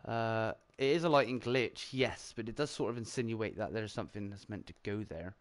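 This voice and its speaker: A man's voice: lowish voice, brisk. A British man hosting a casual gaming livestream chat, reading out donations from the chat. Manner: reads out chat donations, chatty and upbeat. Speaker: livestream host.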